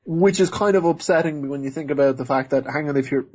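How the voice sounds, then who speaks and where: Casting note: husky male co-host, slightly higher voice, loose joking delivery. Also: quick pace, a little mellow. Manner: joking, rambling with restarts. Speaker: podcast co-host. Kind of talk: casual chat about animation.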